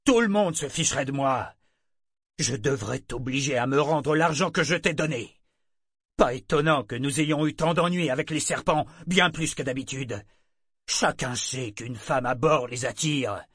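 A man's voice: medium pitch, unhurried, a bit bright, slightly rough, no room sound, studio quality, pitched above the performer's own voice. A man voicing a furious, contemptuous ship's captain in an audiobook, harsh, accusatory and snarling. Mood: scornful rage. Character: hard, furious, contemptuous male ship captain. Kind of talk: audiobook character acting.

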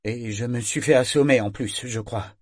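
Un homme lisant à voix haute qui joue un grand jeune marin drogué et hébété, qui gémit. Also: male voice, mid-range voice, normal speed, no room sound, clean studio sound, lightly acted. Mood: groggy. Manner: groaning, dazed. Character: tall young sailor, drugged and groggy.

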